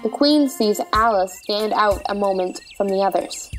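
A woman gives scripted narration of a play's stage directions. Her pitch is average for a woman, and faint music sits behind the voice. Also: moderate pace.

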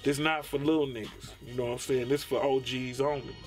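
A man's voice: medium pitch, steady tone, brisk, faint music behind. A man in his thirties with a Detroit accent, speaking casually and slangily in a documentary interview as a rapper. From Detroit, USA. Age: 30s. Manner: slangy, conversational with frequent tag phrases. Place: small dim padded studio room, music behind.

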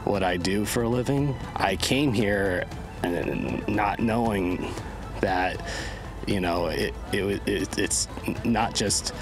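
A man's voice: lowish voice, slow pace, music in the background. A man in his thirties from California, giving a thoughtful interview answer outdoors in a vineyard. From California, United States. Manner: thoughtful, with restarts. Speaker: volunteer. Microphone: handheld furry mic held by interviewer.

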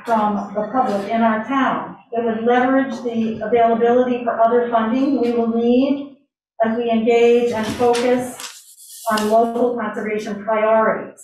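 A woman's voice: a little high, darkish tone, slight background noise. A woman giving a public comment at a town meeting, reading prepared remarks with some hesitations. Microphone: standing at a floor mic in a large room. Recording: echoey room, voice from far off.

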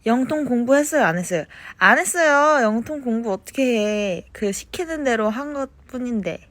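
A young woman chatting casually on a phone livestream, reading fan comments and answering them softly, at times slipping into whispers. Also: fairly high pitch, lively intonation, dry recording. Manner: slow, soft-spoken, drifts into whispers. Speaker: idol livestreaming to fans.

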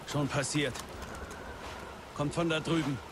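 An actor playing an edgy, outspoken young-sounding squad soldier in a dubbed video game. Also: male voice, fairly high pitch, steady tone, slow pace, background noise. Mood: wry.

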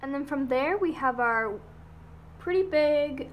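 A woman giving a casual guided tour of her model horse barn, listing each horse in a steady run of "and then". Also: high-pitched, slow, muffled recording, slight background noise. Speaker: model horse hobby vlogger. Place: indoors in a home room beside a toy horse barn.

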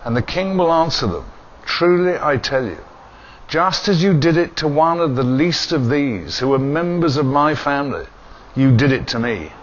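An older man, a priest, leading a church service and reading the gospel in a measured, solemn way in a small chapel, recorded from a distant camera. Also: on the high side, lively intonation. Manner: measured, solemn liturgical delivery. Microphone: far camera. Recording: dull lo-fi camera audio with faint hiss.

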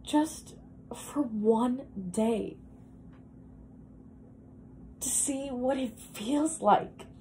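A woman performing a dramatic monologue as a wistful, self-deprecating woman who longs to be beautiful, speaking in a halting, confessional, emphatic way. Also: on the high side, mellow, a little hiss or hum, pitched above the performer's own voice, poor audio. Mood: wistful, pleading.

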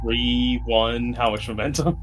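A man's voice: medium pitch, fairly even intonation, a little slow. A man playing a tabletop game and chatting casually about his rolls. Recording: music behind the voice. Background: music.

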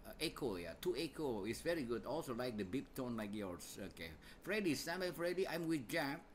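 A man's voice: mid-range voice, big pitch swings, fairly quick. A man with an Indonesian accent hosting a casual amateur radio contact in a jovial, repetitive way. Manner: jovial, laughs often, repeats phrases. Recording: bright audio with faint radio noise.

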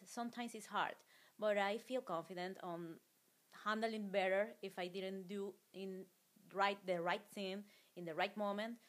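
Spanish-accented woman guest, mid pitch, earnest and halting, handheld mic in an office. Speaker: guest parent. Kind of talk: interview answers. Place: small school office, indoors.

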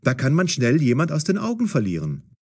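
A man narrating a scripted audio story as storyteller, with a husky voice. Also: fairly high pitch, huge pitch swings, fairly quick, darkish tone.

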